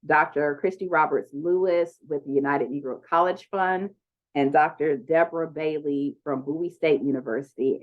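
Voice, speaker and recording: A woman moderating an online conference panel, speaking in a relaxed, chatty way with lots of ums. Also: sits low. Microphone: video call. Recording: dull lo-fi video call audio.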